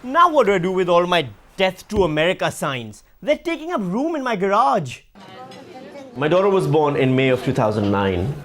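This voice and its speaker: A man's voice: high voice, wildly swooping pitch, normal speed, fairly bright tone. A man, a stand-up comedian telling his story in a conversational, wry way with dry punchlines. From Pakistan. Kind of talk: documentary interview and stand-up set.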